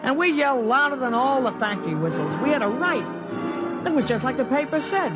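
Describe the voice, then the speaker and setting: An excitable small-town boy narrating a 1950s radio drama. His telling is breathless, earnest and full of wonder. Music sits loudly behind the voice on the vintage recording. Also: very high-pitched, fairly quick, poor audio. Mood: joyful awe.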